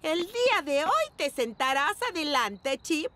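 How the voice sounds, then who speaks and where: A woman's voice: sits high, sing-song, unhurried. A woman voicing a fun-loving, doting pug grandmother in a dubbed children's cartoon. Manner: sing-song, doting, playful. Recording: children's music playing behind.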